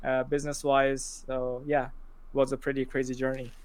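Young man from Pakistan, guest, halting delivery with fillers, flat intonation, clean dry sound. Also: medium pitch, dry recording. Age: 20s. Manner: hesitant, many fillers and restarts. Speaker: podcast guest. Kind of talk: casual interview chat.

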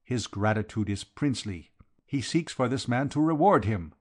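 A man's voice: mid-range voice, animated, normal speed, voice pushed up, lightly acted. A man voicing a formal, flowery foreign count, solemn and emphatic, devoted to his master, in a storybook reading. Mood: grandly fervent.

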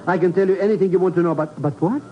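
An actor playing a broke, greedy comic sidekick in a 1950s radio drama, stammering and wheedling. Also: male voice, sits high, very fast, close to an everyday voice. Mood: needy, eager. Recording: muffled 1950s radio recording with music.

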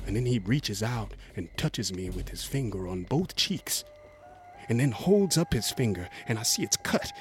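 An actor playing a haunted, rough-spoken US Cavalry veteran, telling his story in a folksy, halting way in a radio drama. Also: medium pitch, slight background noise, natural voice. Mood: uneasy, hushed. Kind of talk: dramatic first-person monologue, radio drama.

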